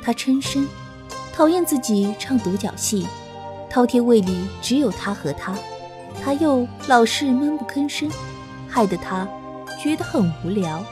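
Female audiobook narrator, soft and measured, somewhat high pitch, music under. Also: expressive. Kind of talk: scripted narration. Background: background music.

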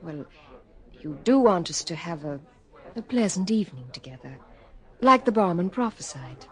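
A woman's voice: fairly low pitch, lively intonation, moderate pace, fairly bright tone. An actress playing a teasing, coy young woman who talks in a coaxing, playful way, in old-style radio drama acting.

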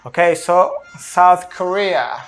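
A man in his thirties, speaking English as a second language, slowly explains football tactics at a whiteboard in a small room, often checking in with "okay?", recorded close on a phone. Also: sits high, soft background music. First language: not English. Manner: slow, deliberate, frequent "okay?" checks. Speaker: football analyst. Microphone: phone camera close up.

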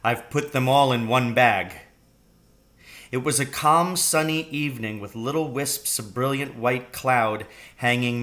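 A man's voice: medium pitch, fairly bright tone. A middle-aged man narrating a children's storybook, reading aloud. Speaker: reader. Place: room at home, indoors.

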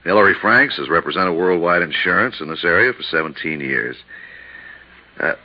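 An actor playing a blunt, businesslike insurance colleague in a 1950s radio detective drama. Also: male voice, low-pitched, animated, normal speed. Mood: briefing, casual. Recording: muffled 1950s radio recording.

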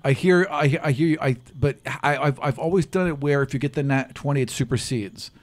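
A man's voice: on the high side, fairly quick. Man running a tabletop game. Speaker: game master. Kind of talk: improvised tabletop game narration. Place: room, group around a table. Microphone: table microphone on arm, close.

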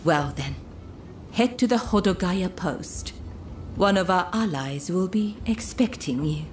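A woman acting a poised, secretive young courtesan spy in a video game, speaking in a measured, formal, coy manner. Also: on the low side, expressive, slight background noise. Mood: composed, commanding.

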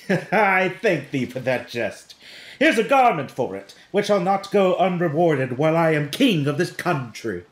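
A man acts a pompous, drunken servant lording it as king in a Shakespearean radio play. His fairly high voice swoops widely, bossing everyone about. The sound is roomy and echoing. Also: sing-song, unhurried. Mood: pleased, pompous. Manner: pompous, commanding. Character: bossy drunken male servant playing at king. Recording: roomy, echoing home recording made online.

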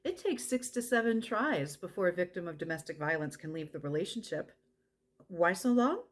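A woman's voice: fairly low pitch, animated, moderate pace. An actress playing a passionate, plain-spoken woman who runs a support center, in dramatized testimony. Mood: urgent. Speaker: actor performing a monologue.

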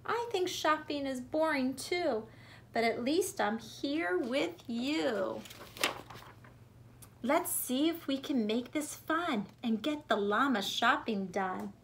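A woman reads a children's picture book aloud in an expressive, rhythmic, deliberate way for young listeners. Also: high voice, gravelly, a little hiss or hum. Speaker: preschool teacher reading aloud. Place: bedroom at home.

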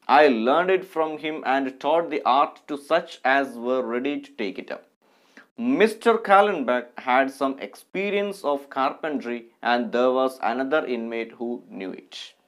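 A man in his thirties with an Indian accent speaks as an English teacher in an online class. His voice sits a little high, and he goes very slowly, articulating each phrase carefully in an instructive way. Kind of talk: online lesson with text read aloud.

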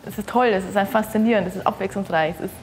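A woman's voice: medium pitch, fairly even intonation, racing, soft background music. A woman in her thirties from Bavaria, chatty and enthusiastic in an interview. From Bavaria, Germany. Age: 30s. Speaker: trained service worker. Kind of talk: interview statement.

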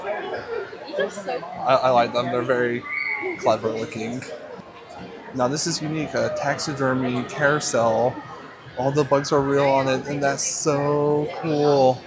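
A man casually vlogging as he walks around an indoor expo, upbeat and easily delighted, with joking asides. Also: mid-range voice. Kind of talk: casual walkthrough vlog. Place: busy indoor expo hall. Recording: crowd chatter behind.

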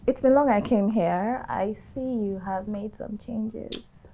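A woman's voice: medium pitch, takes it slowly, gravelly, dark tone, slight background noise. A young woman, acting a guarded, defensive love interest in a film drama. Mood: casual, probing.

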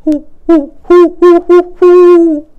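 A great horned owl calls through a storybook wood. The hoots are high, hollow and loud. They come in repeated, evenly spaced calls. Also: female voice, flat delivery, takes it slowly, lo-fi sound. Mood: calling out.

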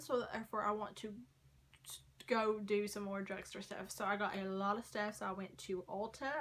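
Young woman, casual haul vlogger, chatty, hoarse voice, filmed in a bedroom corner. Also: medium pitch, fairly bright tone. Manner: chatty, rambling, frequent likes and ums. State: losing her voice. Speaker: beauty vlogger. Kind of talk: casual makeup haul chat. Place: small bedroom corner by a door.

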